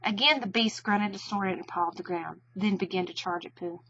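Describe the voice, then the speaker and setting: A woman's voice: mid-range voice, moderate pace, fairly bright tone, lo-fi sound, a little hiss or hum. A woman narrating a children's storybook expressively.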